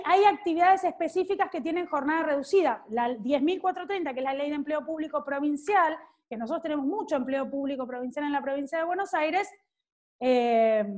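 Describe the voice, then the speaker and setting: A woman in her forties with an Argentine accent, giving an online law class in a chatty way, joking with students by name. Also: sits high. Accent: Argentine Spanish. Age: 40s. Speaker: law lecturer.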